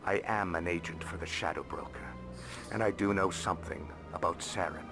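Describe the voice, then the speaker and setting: An actor playing a shrewd, courteous alien financial advisor in a video game, speaking formally and knowingly. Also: male voice, deep voice, normal speed, creaky. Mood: confiding. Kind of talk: video game character acting. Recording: game music behind the voice.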